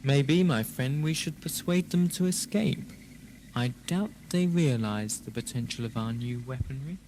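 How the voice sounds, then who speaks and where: A man playing a smug, chatty prison ship guard in an amateur audio drama, talking in a measured, scheming manner. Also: medium pitch, a little mellow, close to an everyday voice. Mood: sly. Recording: noisy ambience, old cassette fan production.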